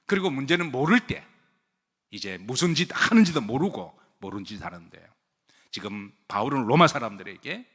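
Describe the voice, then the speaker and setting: A man's voice: medium pitch, fairly bright tone, husky. A male pastor preaching a sermon to his congregation, asking them questions, having them repeat phrases after him, and joking with them lightly. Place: church sanctuary. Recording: long hall reverb.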